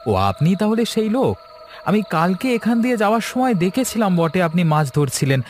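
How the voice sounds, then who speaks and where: A man's voice: high-pitched, sing-song, normal speed, voice pushed up. A man voicing a confident, eager young city man in a cartoon story. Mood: curious.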